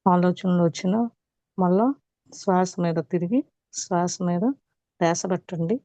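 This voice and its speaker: Telugu lady guiding meditation, soft mellow voice, calm with long pauses, echoey muffled room. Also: on the low side. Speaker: meditation teacher. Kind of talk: guided meditation instruction.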